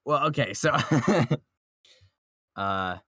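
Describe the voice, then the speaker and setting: A man's voice: medium pitch, animated, drawn-out words, sharp tone, husky, dry recording, studio quality. A man chatting casually and playfully as a podcast co-host on an online voice call. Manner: playful, jokey. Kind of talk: casual chat about manga.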